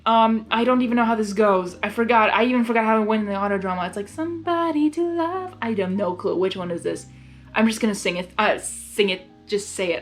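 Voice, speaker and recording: A woman reading fan fiction aloud as a host, gushing and giggly, breaking in with excited asides. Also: medium pitch.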